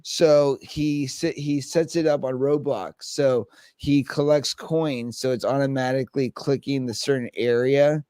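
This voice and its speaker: A man's voice: medium pitch, steady tone, unhurried, hardly any pauses, a little mellow, clean tone, no room sound. A man in his thirties chatting casually as host, telling stories animatedly with frequent laughs and swearing. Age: 30s. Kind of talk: casual chat.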